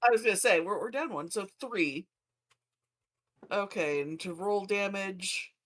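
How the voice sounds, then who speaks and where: A woman's voice: medium pitch, a little slow, a bit bright. A woman chatting casually as a player in a tabletop game. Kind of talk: casual chat.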